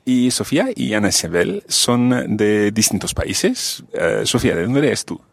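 A man hosting a podcast-style interview, friendly, clear and measured, introducing the guests and asking them questions. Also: fairly low pitch, very animated, no echo. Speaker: host. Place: Strasbourg.

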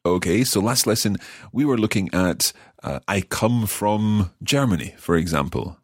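A man hosting a language podcast lesson, speaking clearly and patiently and repeating phrases slowly. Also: fairly low pitch, animated, dry recording, studio quality. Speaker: language course host. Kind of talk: scripted podcast lesson chat.

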